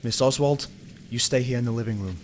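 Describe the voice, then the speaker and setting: A man's voice: medium pitch, a little fast, slight background noise, close to an everyday voice. A man playing a grim, determined police detective in an amateur radio play, earnest and hard-boiled in manner.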